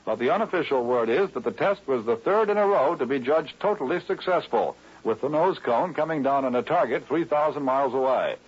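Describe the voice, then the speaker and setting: Male news announcer, American, husky mid-range voice, vintage broadcast sound. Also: mid-range voice, expressive, brisk, hardly any pauses. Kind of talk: 1950s radio newscast. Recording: muffled vintage radio recording.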